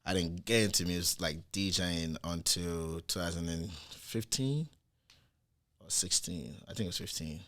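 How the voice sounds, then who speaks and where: Deep-voiced laid-back young man. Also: normal speed, fairly bright tone. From Nigeria. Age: 20s. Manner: laid-back, easygoing slang. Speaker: guest, DJ. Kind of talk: casual podcast chat.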